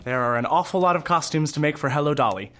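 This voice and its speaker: An actor playing a polite, earnest young costume maker in an audio drama. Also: male voice, fairly high pitch, quick pace, a bit bright, natural voice.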